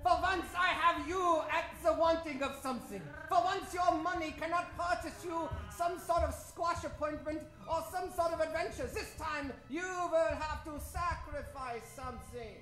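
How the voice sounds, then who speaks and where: A woman's voice: extremely high pitch, moderate pace, few pauses, a bit bright, roomy sound, faint music behind, low-quality recording. A woman playing a bitter, gloating villain in a comic old-time radio melodrama, theatrical and indignant. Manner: theatrical, indignant, grandiose cursing.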